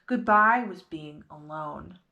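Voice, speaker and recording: A woman reads a picture book to young children as a storytime host. Her delivery is slow and expressive, with a lively swing in pitch. She addresses the children directly and simply. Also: highs cut off. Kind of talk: children's read-aloud with questions to young listeners.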